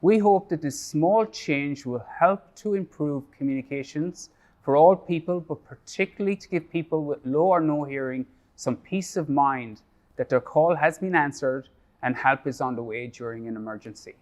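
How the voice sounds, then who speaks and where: A man with a slightly raised pitch gives a scripted project update. He reads prepared remarks in a steady, measured way. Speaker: project staff presenter. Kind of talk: scripted presentation.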